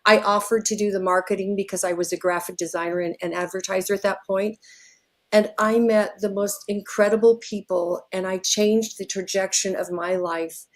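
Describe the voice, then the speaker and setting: A woman's voice: mid-range voice, fairly even intonation, moderate pace. Heartfelt woman telling her story. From Wyoming, USA. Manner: reflective, heartfelt. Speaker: guest, graphic designer. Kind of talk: personal storytelling. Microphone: video call.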